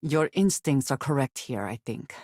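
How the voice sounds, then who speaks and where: A guarded, devoted spacefaring woman from a video game. She speaks formally and carefully, with precise, measured diction and an earnest seriousness. The recording is dry and close. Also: a bass voice, animated, mellow, no echo. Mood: cool, serious. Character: guarded, earnest spacefaring woman, devout and fiercely loyal companion. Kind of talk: video game companion voice lines.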